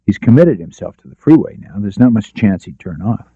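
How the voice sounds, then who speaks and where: A man's voice: on the low side, animated, a little fast, warm tone, slightly rough, dry recording, muffled recording. A man voicing a shrewd, decisive lawyer-detective in an audiobook, giving confident, clipped orders. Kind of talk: audiobook character dialogue.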